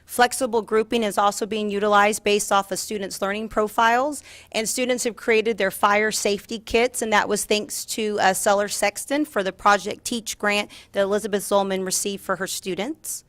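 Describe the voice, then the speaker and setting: A woman's voice: medium pitch, no room sound. A woman giving a formal presentation as a school district administrator at a meeting. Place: school board meeting room.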